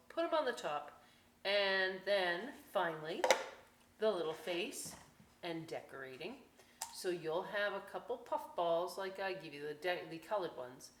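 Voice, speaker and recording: A woman hosting a children's library story time and craft demonstration, talking in a folksy, playful way with chatty asides to young viewers. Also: fairly low pitch. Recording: echoey room, some background noise.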